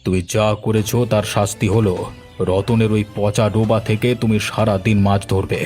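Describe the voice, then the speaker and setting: Guy doing a strict village boss in a cartoon, lowish voice, bossy and scolding, faint music. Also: flat delivery, normal speed, a little mellow, natural voice. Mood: stern. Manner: commanding, scolding. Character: stern, authoritative male village elder passing judgment. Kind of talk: Bengali cartoon voice acting. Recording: music behind the voice.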